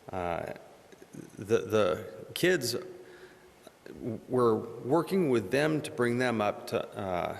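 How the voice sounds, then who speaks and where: A middle-aged man with a deep, soft voice that doesn't carry. He talks haltingly and self-deprecatingly, filling gaps with "uh," his words roughened by gravel. A long hall reverb trails behind him. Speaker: board member. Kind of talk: informal remarks at a public meeting. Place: community meeting room. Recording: echoey meeting hall.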